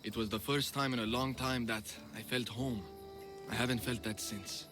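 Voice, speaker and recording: A man's voice: mid-range voice, steady tone, normal speed, over music, lightly acted. An actor playing a reflective, tender young male wanderer in a video game cutscene.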